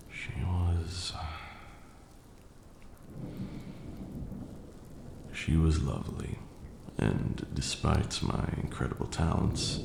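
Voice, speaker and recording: A man playing an ancient vampire lord, proud, wistful and tender, telling his tale in a hushed, formal, measured way as an intimate ASMR roleplay. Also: very deep voice, vocal fry, echoey room, background noise, heavily acted. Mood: tender, admiring.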